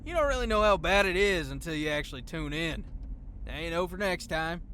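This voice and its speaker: An actor in an audio drama playing a blunt, good-natured truck driver with a folksy, wry way of talking. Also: male voice, a little high, expressive, fairly quick, pushed and bright, a little hiss or hum. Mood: easygoing.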